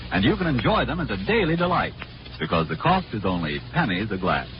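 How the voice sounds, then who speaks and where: A man's voice: on the low side, big pitch swings, brisk, a little hiss or hum. A man reading advertising copy as a 1940s radio announcer, polished, salesmanlike and emphatic. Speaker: commercial announcer. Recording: dull 1940s radio recording with music.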